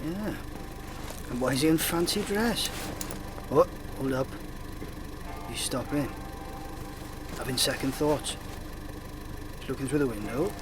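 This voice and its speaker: A watchful police sidekick, played by a man, reporting what he observes. His mid-range voice comes through clear car engine noise, in a comedy radio play. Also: mid-range voice, unhurried, long pauses, close to an everyday voice. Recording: noisy car engine ambience.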